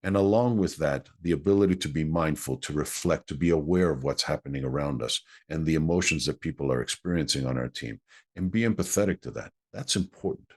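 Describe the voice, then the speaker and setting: A man's voice: extremely low pitch, brisk, a little mellow. A man whose first language is Greek, an executive coach answering interview questions in an earnest, explanatory way.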